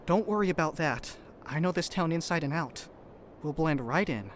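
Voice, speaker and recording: Man playing an earnest, steady space crewman. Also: fairly high pitch, slight background noise, lightly acted. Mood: reassuring. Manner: earnest, reassuring, measured. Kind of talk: audio drama acting.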